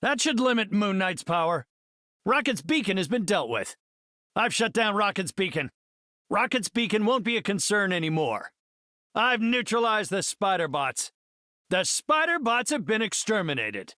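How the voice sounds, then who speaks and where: Middle-aged genius hero, bright forceful voice, very animated, earnest, articulate, cerebral, video game delivery. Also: male voice, sits high, moderate pace, no echo, studio quality, exaggerated voice. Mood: assured, analytical. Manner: articulate, cerebral, heroic declamation. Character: earnest middle-aged male genius scientist superhero, confident leader.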